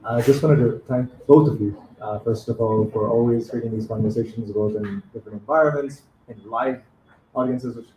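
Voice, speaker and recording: A man's voice: mid-range voice, steady tone, moderate pace, dark tone, dull audio, slight background noise. Direct, punchy man in an echoey café. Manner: direct, punchy rhetorical questions. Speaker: audience member. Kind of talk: live panel discussion. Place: café with live audience.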